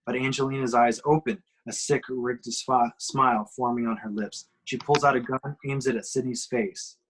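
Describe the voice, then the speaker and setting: A man's voice: mid-range voice, fairly even intonation, moderate pace, fairly bright tone, a little hiss or hum, poor audio. A man giving emphatic, suspenseful scripted narration as he reads action descriptions at an amateur table read.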